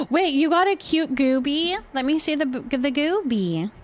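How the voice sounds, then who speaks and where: Female live stream host, fairly high register, chatty and informal, voices thoughts as they come. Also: lively intonation, measured pace, faint music behind. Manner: chatty, thinks aloud. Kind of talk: casual live game stream chat.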